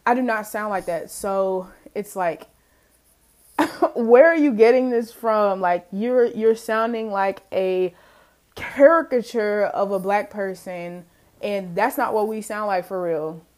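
A woman's voice: mid-range voice, slow pace, darkish tone. A young adult woman hosting her own podcast, chatting casually and laughing often as she talks to listeners. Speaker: podcast host. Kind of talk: casual solo podcast chat.

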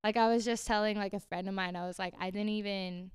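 Chatty young Houston woman, smooth clear voice. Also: mid-range voice, fairly even intonation, quick pace, dry recording, clean studio sound. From Houston, Texas. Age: young adult. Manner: chatty, lots of "like", laughs often. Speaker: podcast guest, dancer. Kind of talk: casual interview chat.

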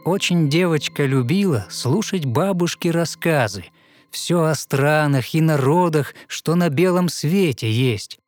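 A man narrating a fairy tale in verse as scripted audio storytelling, with measured, expressive recitation. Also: on the high side, no room sound. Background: soft music.

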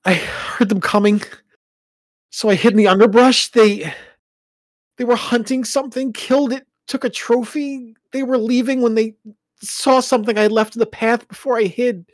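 A woman's voice: on the high side, expressive, a bit bright, husky, no room sound, voice pushed up, heavily acted. Woman voicing a sobbing wounded young man. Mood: distraught. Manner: halting, breaking voice. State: crying, in pain.

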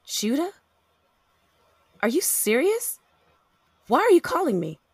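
A woman's voice: high voice, huge pitch swings, takes it slowly, dry recording, studio quality, full character voice. An actress with an American accent playing a fed-up, defiant woman in an audio drama, blunt and emphatic. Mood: incredulous, indignant. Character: fed-up, fiercely defiant young woman facing abusive ex.

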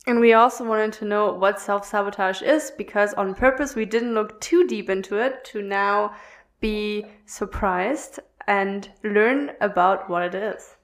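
A woman's voice: mid-range voice, unhurried. A woman hosting a podcast, asking curious questions in a friendly, casual way. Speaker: podcast host.

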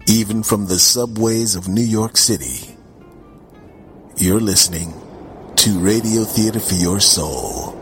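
A man's voice: lowish voice, slow. A man announcing a radio show in a scripted ident. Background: loud music.

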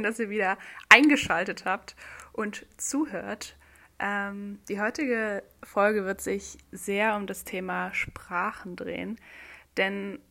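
A woman's voice: medium pitch, creaky, pushed and bright. A young woman from Germany chats alone on her podcast in a loose, friendly way. She laughs a lot between thoughts and keeps circling back with fillers and false starts. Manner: chatty, giggly, with many fillers and restarts. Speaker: podcast host. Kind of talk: casual solo podcast chat.

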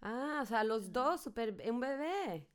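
A woman from Mexico, co-hosting a casual podcast chat and telling anecdotes in a lively way. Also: fairly high pitch, a little slow, smooth. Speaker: co-host.

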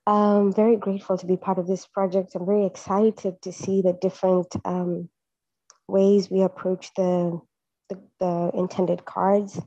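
A woman from Kenya, a photographer speaking earnestly on a group call, with frequent halting restarts. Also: medium pitch, level tone, dark tone, dry recording. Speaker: guest artist, photographer. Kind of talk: casual group call chat. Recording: dull lo-fi online call audio.

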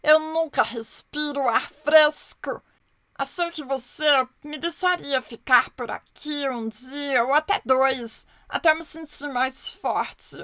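A woman voicing a weak, unhappy little word that has run away and now asks softly and slowly for shelter. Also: high-pitched, no echo, studio quality, pitched above the performer's own voice, exaggerated voice. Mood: wistful. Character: timid runaway little please-word, weak and sad.

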